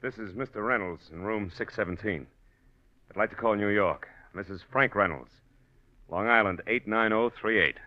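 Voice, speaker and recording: An actor playing a smooth-talking, scheming bigamist husband in old-time radio drama, hurried, evasive and impatient. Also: male voice, fairly low pitch, lightly acted. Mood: curt, businesslike. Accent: American. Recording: muffled vintage radio recording.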